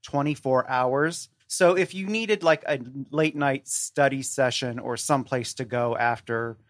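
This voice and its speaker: A man's voice: mid-range voice, a little slow, no room sound, clean studio sound. A man speaking as a podcast guest in casual chat, with a wry, emphatic way of talking.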